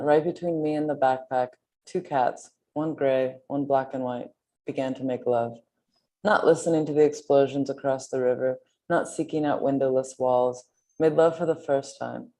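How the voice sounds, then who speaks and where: A woman with an exceptionally low, mellow voice reads translated poetry aloud. Her tone is dark and relaxed, in the style of a literary reading.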